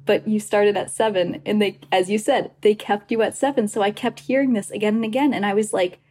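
A woman's voice: mid-range voice, steady tone, fairly quick, a little mellow. A woman hosting a podcast, reading a scripted intro and then chatting casually with her guest. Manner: chatty, retells hearsay with quoted asides. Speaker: podcast host.

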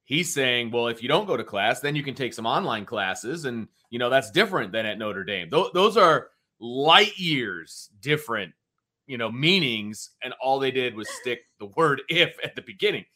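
Bright-voiced, emphatic male sports co-host. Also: mid-range voice, lively intonation, normal speed. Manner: emphatic, repeats points for stress. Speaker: sports talk show co-host. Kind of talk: casual sports talk banter.

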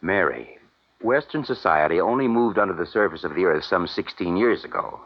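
A man's voice: deep voice, expressive, normal speed, muffled recording. A man playing a cautious, worried middle-aged father in a 1950s radio drama, earnest and admonishing, on an old recording. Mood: uneasy, admonishing.

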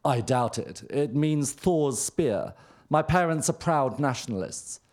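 A man's voice: medium pitch, lively intonation, unhurried, a little mellow, natural voice. A man voicing a vain, self-assured, burly young emigrant in a novel reading, speaking tersely.

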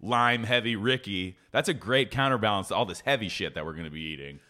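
A man's voice: fairly low pitch, animated, fast, bright, forceful tone. A man co-hosting a casual podcast chat about cocktails, playful and wry, with long tangents and asides. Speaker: podcast co-host.

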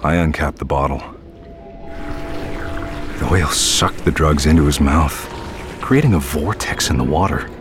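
A man playing a weary night-shift worker, narrating in a brooding, measured way for an audio drama. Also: very deep voice, animated. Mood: hushed wonder. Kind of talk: first-person audio fiction narration. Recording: loud music bed under the narration.

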